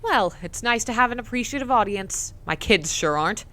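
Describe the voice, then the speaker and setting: A woman's voice: a little high, expressive, fairly quick, background noise, lightly acted. A woman playing a caring, bossy, teasing sister and mother in a scripted comic dub. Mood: fussing. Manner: fussing, playful, insistent.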